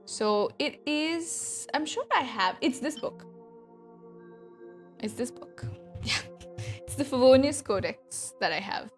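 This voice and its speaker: A woman's voice: sits high, animated, slow pace, long pauses, soft background music. A woman from India chatting casually on a game livestream in a scattered, giggly way. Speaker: streamer. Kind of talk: casual livestream chat while playing a game.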